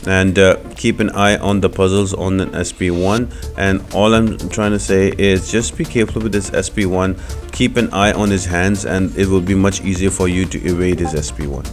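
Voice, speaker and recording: Guy doing a game walkthrough, deep voice, explains stuff step by step, music playing behind. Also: steady tone, moderate pace. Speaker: gaming video host. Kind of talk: walkthrough commentary.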